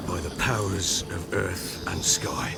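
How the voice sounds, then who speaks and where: An actor playing a rough, guilt-ridden middle-aged lord and father in a video game, blunt and heavy in delivery, with a gruff voice. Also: sits low, takes it slowly, loud music behind the voice, heavily acted. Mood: solemn, shaken. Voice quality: gravelly, gruff.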